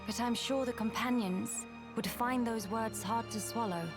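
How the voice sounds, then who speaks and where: An actress playing a composed, courteous young noblewoman in a video game cutscene, speaking formally and with measured dignity. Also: on the high side, natural voice. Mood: solemn. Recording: game music behind the voice.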